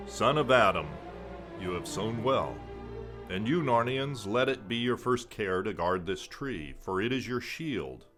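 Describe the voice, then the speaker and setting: A man playing a majestic, solemn lion ruler in a children's fantasy drama, proclaiming with slow, commanding gravity. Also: lowish voice, expressive, a bit bright, faint music behind. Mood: grave, solemn.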